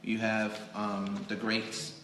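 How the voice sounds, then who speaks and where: A man hosting a casual live stream, chatting in a rambling, halting way as he fills time. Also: fairly low pitch, monotone, background noise. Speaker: magazine editor hosting a live interview show. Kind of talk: casual improvised livestream hosting. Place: indoors in a cake studio. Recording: roomy, echoing live stream indoors.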